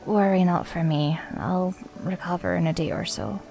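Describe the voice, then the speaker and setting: A woman playing a calm, stoic mystic in a fantasy audio drama, with music behind. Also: fairly low pitch, moderate pace, natural voice. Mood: weary reassurance.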